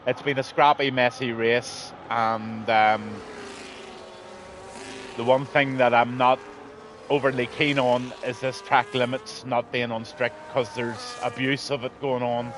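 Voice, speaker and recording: A man's voice: medium pitch, level tone, measured pace, noisy background. A man, losing his voice, commentating a live online race in a rambling, blunt way with lots of ums. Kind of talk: live sim racing commentary.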